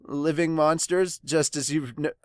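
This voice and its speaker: A man chatting casually as a podcast host, reading comments aloud and reacting in an animated but halting way. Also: fairly high pitch, no room sound, studio quality.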